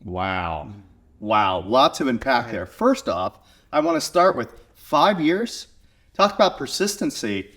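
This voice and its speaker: A man's voice: medium pitch, sing-song, takes it slowly, fairly bright tone. A man with an American accent hosting a podcast, speaking casually as he interviews his guest. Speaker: podcast host. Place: small garage video studio, on location.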